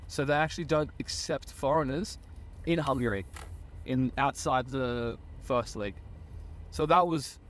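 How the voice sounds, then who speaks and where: A man's voice: mid-range voice, unhurried, slight background noise. A young man of about twenty, a podcast guest earnestly telling his own story in a casual way and often correcting himself mid-sentence. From Australia. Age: around 20.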